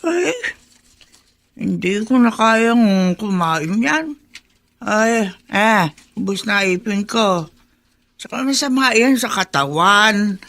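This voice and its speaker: A woman's voice: medium pitch, animated, slow, a bit bright. A woman playing a fussy, scolding old lady in a radio drama, full of nagging exclamations.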